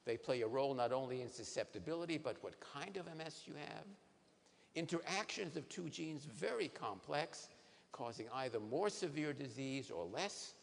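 A man in his sixties, a neurologist, giving a lively science lecture to patients on a conference stage, asking the audience questions. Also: medium pitch, moderate pace, vocal fry. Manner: animated, asks the audience questions. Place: large conference hall stage. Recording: reverberant hall, faint noise.

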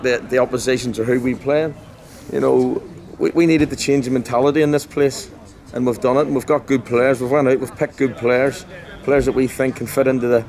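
A man's voice: medium pitch, darkish tone. A man in his forties, a football manager giving a blunt, passionate post-match interview outdoors at a ground at night. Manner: emphatic, repetitive, blunt and passionate. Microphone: handheld mic held close. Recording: faint outdoor noise.

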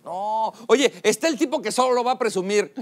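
A man with a Mexican accent hosting a late-night comedy show, joking and teasing in a loud, slangy stand-up monologue. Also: high voice, normal speed. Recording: TV studio with audience and music. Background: studio audience and music.

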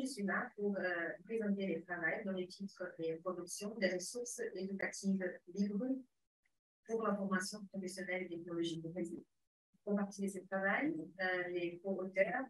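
A middle-aged Brazilian woman, a university professor, gives a conference talk in French with a Brazilian Portuguese accent. She speaks carefully, with hesitant pauses and frequent fillers. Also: fairly low pitch, slight background noise. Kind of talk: conference presentation. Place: home room, online. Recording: prerecorded video in echoey room, far from mic.

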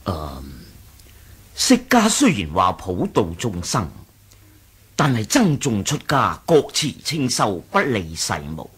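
Casting: husky, slow-spoken martial sect lieutenant, loyal and formal, Hong Kong Cantonese, swooping 1980s radio drama delivery. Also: male voice, fairly low pitch, huge pitch swings, fairly bright tone, full character voice. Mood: grave, earnest. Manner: formal, respectful.

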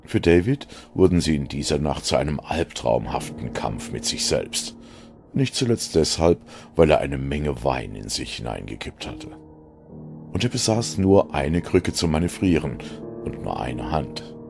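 Male narrator for a dark audio drama: bottomless register, creaky grit, brooding and theatrical. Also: rumbling low. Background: music.

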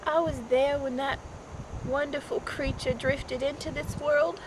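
A woman performing an audition monologue as a grieving mother, with halting, emotional, dramatic delivery. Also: sits high, fairly even intonation. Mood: quiet numb grief. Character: grieving Southern mother, bitter then raging over daughter's death. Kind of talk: amateur audition monologue, stage drama acting. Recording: noisy amateur recording, wind-like microphone noise.

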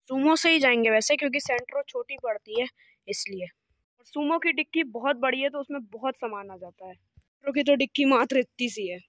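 A man's voice: very high voice, a bit bright, dry recording. A boy filming a casual travel vlog on a phone held at arm's length, outdoors and in a moving car. He talks in a chatty way and keeps saying "okay" and "guys". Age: young. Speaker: travel vlogger.

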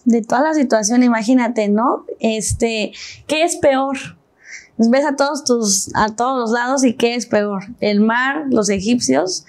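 A woman's voice: on the high side, a little slow, a little mellow. A young woman with a Mexican accent, a panel guest reading scripture and then talking it through. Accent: Mexican Spanish. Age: young adult. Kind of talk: Bible reading and discussion. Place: TV studio set. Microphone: lapel.

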